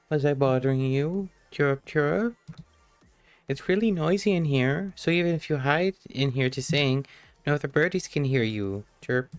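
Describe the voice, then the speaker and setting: Casting: male streamer as tiny chirping storybook-like game bird, lightly acted, dry recording, soft music behind. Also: on the high side, dry recording. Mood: shy, embarrassed. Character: small chirping bird, shy or bossy. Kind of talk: reading game dialogue aloud.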